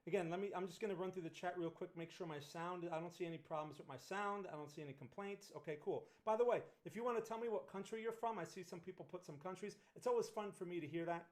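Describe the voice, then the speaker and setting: A man's voice: high voice, fast, a little mellow. New Jersey man talking markets. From Northern New Jersey. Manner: conversational, frequent "right?" tag questions and restarts. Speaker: trading livestream host. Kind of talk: casual livestream market analysis.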